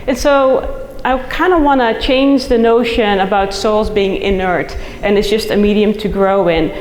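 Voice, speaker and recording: A woman's voice: medium pitch, unhurried. Dutch woman lecturing in an echoey hall. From Netherlands. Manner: enthusiastic, chatty, with frequent fillers and restarts. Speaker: soil scientist giving a symposium talk. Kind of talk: conference presentation. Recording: echoey hall recording, faint noise.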